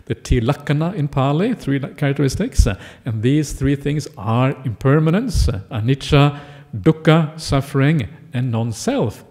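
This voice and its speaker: A middle-aged man born in Norway, a Buddhist monk giving a relaxed, conversational Dharma talk full of "uh" fillers and "yeah?" checks. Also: mid-range voice.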